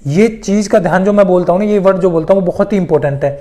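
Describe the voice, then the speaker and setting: A presenter speaks at a medium pitch in an informal explainer style. The delivery is emphatic, circling back over key points for exam candidates, with English terms mixed in throughout. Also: very fast, warm tone. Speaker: presenter of exam news updates.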